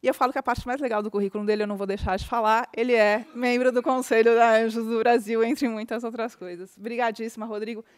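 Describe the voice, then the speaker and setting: A woman's voice: on the high side, steady tone, clean tone, no echo. A woman hosting a conference, welcoming guests in two languages and introducing the speaker with lavish praise. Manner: warm, effusive, quick praise of the guest. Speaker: event host introducing speakers. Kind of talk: stage introduction. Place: conference hall.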